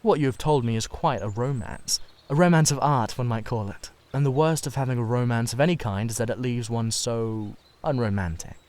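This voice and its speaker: A man's voice: mid-range voice, fairly quick, few pauses, darkish tone, lightly acted. A man voicing a witty, cynical, self-satisfied Victorian aristocrat in a dramatised reading of a classic novel, with a theatrical manner. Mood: languid, pontificating.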